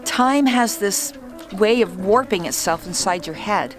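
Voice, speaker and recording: Woman, speaking coach, mid-pitched voice, deliberate, projected, clear background music. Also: lively intonation. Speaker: former trial lawyer. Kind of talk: instructional talk to camera.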